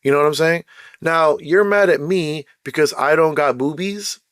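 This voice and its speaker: A man hosting his own channel, ranting bluntly and emphatically, his voice going hoarse with frequent throat-clearing. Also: fairly high pitch, normal speed, a bit bright, no room sound, clean studio sound. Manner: blunt, emphatic, repeats points. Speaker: channel host. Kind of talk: casual ranting commentary.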